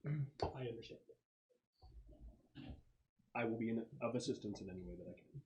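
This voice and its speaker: A man plays a calm synthetic shipmate in a tabletop sci-fi game. The android speaks quietly and politely, with formal, measured phrasing and a serene, courteous temper. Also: mid-range voice, lo-fi sound, close to an everyday voice. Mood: calm, reassuring.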